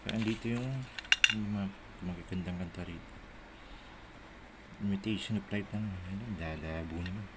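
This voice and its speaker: A man's voice: deep voice, fairly even intonation, moderate pace, pauses often. A middle-aged Filipino man with a Manila Tagalog accent, rambling casually and muttering to himself as he shows his collection on a handheld phone. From Philippines. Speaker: cassette collector showing a collection. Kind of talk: casual show-and-tell chat, off the cuff. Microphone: phone held in hand while filming. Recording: noisy handheld phone camera audio.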